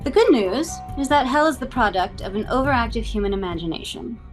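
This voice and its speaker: A woman playing a cool, controlled, persuasive corporate boss in a table read, speaking in measured, deliberate speeches. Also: a little high, lively intonation, soft background music, close to an everyday voice. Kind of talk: live table read of a TV script.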